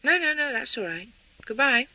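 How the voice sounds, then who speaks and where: An actress playing a polite, slightly hesitant young wife answering the telephone. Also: female voice, high-pitched, big pitch swings, moderate pace, sharp tone, dry recording, heavily acted.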